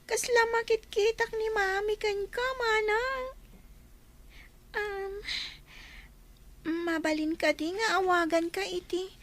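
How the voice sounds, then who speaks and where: A woman's voice: very high-pitched, steady tone, takes it slowly, long pauses, fairly bright tone, heavily acted. An actress playing a sad, timid little girl who misses her mother, in a radio drama. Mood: sorrowful, timid.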